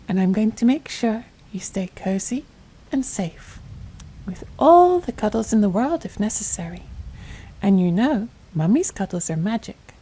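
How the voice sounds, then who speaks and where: A woman playing a tender, doting mother in a soft-spoken ASMR bedtime roleplay, gentle, soothing and affectionate, often dropping to a whisper. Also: mid-range voice, slightly rough, poor audio. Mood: loving, cozy. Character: tender, doting mother soothing her little girl to sleep.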